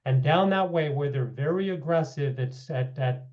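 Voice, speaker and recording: A slightly hoarse man giving a public meeting presentation, walking through figures with frequent asides of "okay" and "you know". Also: fairly high pitch, normal speed. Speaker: housing policy presenter. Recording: dull lo-fi meeting audio.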